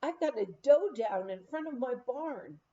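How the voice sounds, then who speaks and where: A woman reading aloud as an excitable rural mountain man telling a folksy hunting story. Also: extremely high pitch, a little slow, lo-fi sound. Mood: excited, folksy.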